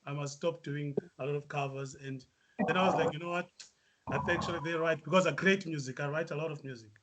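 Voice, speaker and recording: A South African musician answering interview questions, hesitant with many fillers. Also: male voice, on the high side, steady tone, quick pace, crowd noise. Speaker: musician guest.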